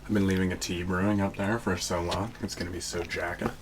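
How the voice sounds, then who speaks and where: A man's voice: low voice, flat delivery, fast, noisy background. A young man hosting a podcast, giving rambling, casual explanations and often searching for words. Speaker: podcast host. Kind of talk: casual chat.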